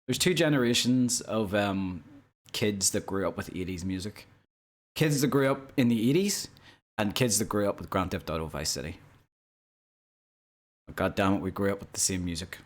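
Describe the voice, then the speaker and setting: A man in his twenties chatting casually with viewers on a live stream, rambling and joking at his own expense. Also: lowish voice. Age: 20s. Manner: rambling, joking, self-deprecating, laughs often.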